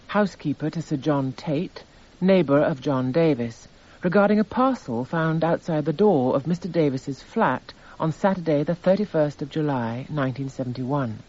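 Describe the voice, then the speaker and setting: An impassive narrator reading exhibits in a radio courtroom drama. His voice is mellow and husky, the delivery measured and detached. The recording is slightly dull. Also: a little high, expressive. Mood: detached, factual. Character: impassive male narrator itemising court evidence. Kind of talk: scripted documentary-drama narration, reading evidence aloud.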